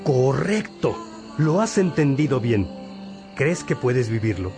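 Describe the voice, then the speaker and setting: An actor playing a wise, patient priest and teacher in a religious radio drama, gently questioning and instructing. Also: male voice, medium pitch, big pitch swings, takes it slowly. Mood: patient, affirming. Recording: music behind the voice.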